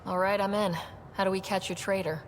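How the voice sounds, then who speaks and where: A woman's voice: medium pitch, fairly even intonation, brisk, in a large hall, slight background noise, natural voice. A woman playing a direct, inquisitive young explorer and team leader in a video game, talking plainly. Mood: businesslike, curious. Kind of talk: video game character dialogue.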